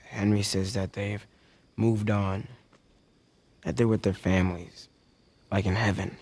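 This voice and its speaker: A man's voice: lowish voice, level tone, measured pace, a little mellow. A male actor playing an earnest, worried adolescent boy in a video game cutscene, speaking in a subdued, hesitant, serious way. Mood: anxious, downcast.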